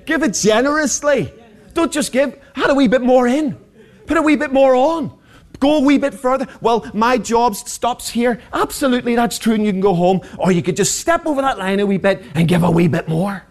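A man's voice: very high voice, a little fast. A man, preaching a sermon as pastor, passionate and rhetorical with humorous asides. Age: 40s.

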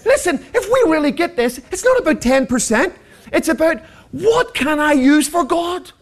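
A man's voice: extremely high pitch, very animated, moderate pace. A man, preaching a sermon as pastor, passionate and rhetorical with humorous asides. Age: 40s.

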